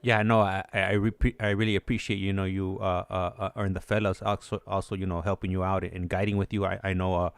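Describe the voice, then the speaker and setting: A man hosting a casual call, talking in a halting, repetitive and agreeable way. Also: sits low, flat delivery, clear, resonant tone, no room sound, studio quality. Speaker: show host. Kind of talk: casual phone conversation. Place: at home.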